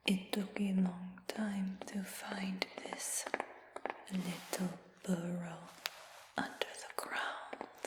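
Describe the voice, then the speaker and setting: A woman voicing a calm, scheming female dragon hunter in an ASMR fantasy roleplay, in a slow, soft whisper. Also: medium pitch. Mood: softly menacing. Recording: reverberant whisper with long echo.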